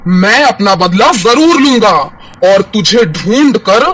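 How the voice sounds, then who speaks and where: Man playing forceful, slow man-eating demon. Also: high voice, expressive, faint music behind, full character voice. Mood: threatening. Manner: threatening, deliberate. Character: menacing, vengeful man-eating male demon villain. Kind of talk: animated fairy-tale cartoon villain acting.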